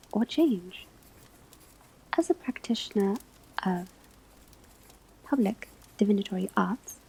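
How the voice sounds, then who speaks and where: A woman performing an ASMR classroom roleplay as a calm, formal witch school teacher, speaking softly and often dropping into a whisper. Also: mid-range voice, poor audio. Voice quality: soft, breathy, often whispered. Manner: measured, formal, precise phrasing. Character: calm, kindly but strict witch school divination teacher.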